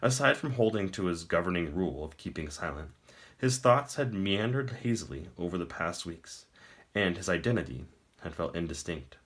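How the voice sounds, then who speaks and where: A man reading a fantasy novel aloud in a measured, deliberate storytelling manner with emphatic phrasing. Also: low-pitched, expressive, a bit bright. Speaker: audiobook-style reader. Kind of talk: scripted dramatic narration of a fantasy novel.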